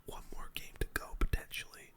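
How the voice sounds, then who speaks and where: A person whispering ASMR game commentary as host, in a breathy, unvoiced voice with casual gamer slang. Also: brisk. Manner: casual gamer slang, addressing viewers as boys and guys. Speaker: gaming video host.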